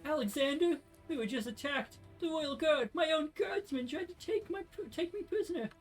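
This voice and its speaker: Imperious young noblewoman, played by a man, high pitched, melodramatic and defiant game dialogue, music behind. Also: animated, normal speed. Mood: alarmed, indignant. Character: imperious young noblewoman vowing to seize her throne. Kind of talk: amateur video game dialogue read-aloud.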